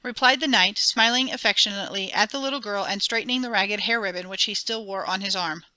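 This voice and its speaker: Expressive storybook narrator, a woman with a sharp, bright voice. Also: mid-range voice, quick pace, no room sound, rough recording. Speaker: audiobook narrator. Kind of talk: dramatic storybook reading.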